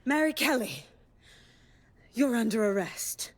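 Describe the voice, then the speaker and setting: A woman's voice: on the high side, lively intonation, slow pace. An actress playing a commanding, terse female police inspector in a video game cutscene. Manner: authoritative, terse.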